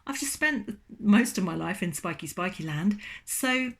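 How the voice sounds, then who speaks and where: A woman's voice: medium pitch, animated, measured pace, gravelly, a bit bright, husky, exaggerated voice. A woman voicing a fearless small pink marshmallow in a storybook tale, speaking in a breezy, unbothered way. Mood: earnest.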